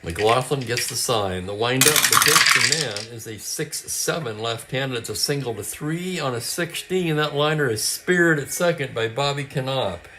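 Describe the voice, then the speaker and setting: A man calling a tabletop baseball replay as play-by-play, with brisk calls and stat asides, and a voice that is getting hoarse. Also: mid-range voice, animated, noisy background. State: losing his voice, clearing his throat. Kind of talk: play-by-play commentary.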